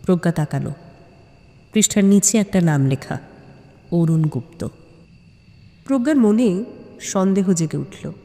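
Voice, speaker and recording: A woman narrates a suspense story dramatically, with deliberate phrasing and weighty pauses. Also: lowish voice, expressive, mellow. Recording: long hall-like reverb on voice.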